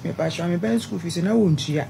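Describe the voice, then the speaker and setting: A woman's voice: fairly low pitch, normal speed, gravelly, warm tone. A woman with a Ghanaian accent, explaining herself as a guest on a talk show. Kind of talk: explaining under questioning.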